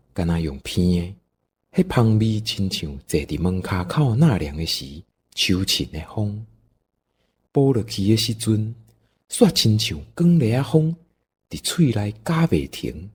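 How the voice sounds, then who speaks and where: Taiwanese guy narrating a radio play, deep raspy voice, really animated and folksy. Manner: folksy, dramatic. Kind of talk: expressive scripted storytelling for audio drama.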